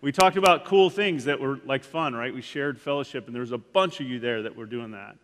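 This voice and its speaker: A man's voice: medium pitch, a little fast, a bit bright, a little hiss or hum. Rambling man speaking in a reverberant church. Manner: rambling, frequent "like" fillers and restarts. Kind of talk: casual sermon talk. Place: church sanctuary. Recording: long hall reverb.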